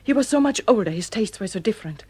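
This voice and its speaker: An actress playing a troubled, self-reproaching wife in a melodramatic audio drama. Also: female voice, mid-range voice, expressive, fast talker, darkish tone, husky. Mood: confessional, remorseful.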